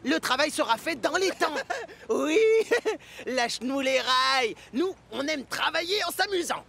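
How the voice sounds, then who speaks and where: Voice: sits high, animated, unhurried, vocal fry, pushed and bright, slight background noise, heavily acted. An actor voicing mischievous, boyish twin tank engines in a French cartoon dub, teasing and boastful. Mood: boastful, mocking. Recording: music behind the voice.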